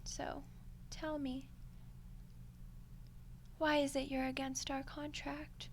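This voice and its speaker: Woman playing a slow, smug, high-voiced female archdemon. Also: little pitch movement, slight background noise. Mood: smug, dismissive. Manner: unhurried, condescending, menacingly polite. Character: ancient female archdemon, smug, coolly seductive deal-maker. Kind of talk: ASMR roleplay monologue, soft-spoken with breathy endings.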